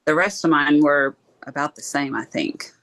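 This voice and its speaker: A woman's voice: low-pitched, normal speed, fairly bright tone. A woman in her late thirties chatting casually, plainspoken and practical. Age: late 30s. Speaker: podcast co-host. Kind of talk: casual chat.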